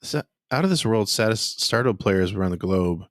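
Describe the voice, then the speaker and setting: A man's voice: lowish voice, expressive, normal speed, no echo, clean studio sound. A man chatting casually as a podcast co-host during a quiz. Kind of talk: casual quiz-show chat.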